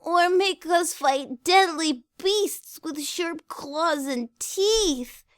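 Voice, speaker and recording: A woman voicing a plucky female cartoon pony sidekick with a wry, expressive way of talking. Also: very high-pitched, drawn-out words, no room sound, pitched above the performer's own voice, heavily acted. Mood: weary, wry.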